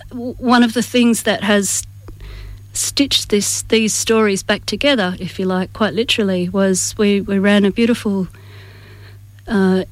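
An articulate woman with an Australian accent answers interview questions reflectively. Her delivery is measured and stays in a narrow pitch range, recorded close and dry. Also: dry recording. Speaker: community group member, interview guest.

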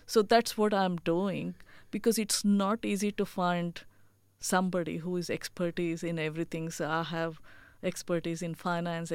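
A woman's voice: fairly low pitch, smooth, no echo. A woman from India with an Indian accent, speaking as a chief executive in an interview, earnest and full of "you know" fillers. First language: not English. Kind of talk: interview answers.

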